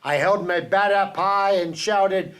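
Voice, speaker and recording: A man reading a children's picture book aloud for a reading program, telling the story with dramatic pauses. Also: high voice, a bit bright.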